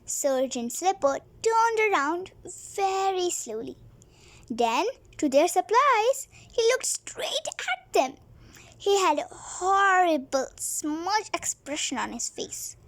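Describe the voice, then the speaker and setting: A woman's voice: very high voice, sing-song, takes it slowly, fairly bright tone. A young girl hosting a read-along, reading a storybook aloud expressively and chatting to viewers. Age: child. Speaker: host of a read-along video.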